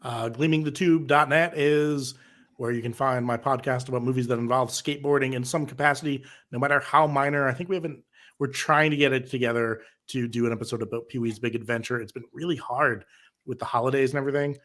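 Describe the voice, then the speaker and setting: A man's voice: mid-range voice, fairly even intonation, fast talker, fairly bright tone. A man chatting casually as a podcaster on a panel. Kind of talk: casual chat.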